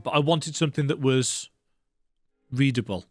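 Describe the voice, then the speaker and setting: A man's voice: medium pitch, moderate pace, darkish tone, no room sound, faint music behind. A man giving casual, conversational commentary while playing a video game.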